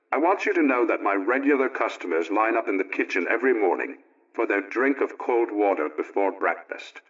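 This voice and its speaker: A man playing an earnest, folksy middle-aged family man, reading out his letter in a deliberate, plain-spoken way for 1920s radio. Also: fairly low pitch, a bit bright. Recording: dull, lo-fi old radio recording.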